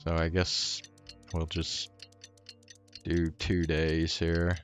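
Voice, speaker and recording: A young man giving casual live game commentary, halting and slangy as he thinks aloud. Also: deep voice, completely flat delivery. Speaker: game streamer. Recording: faint music behind.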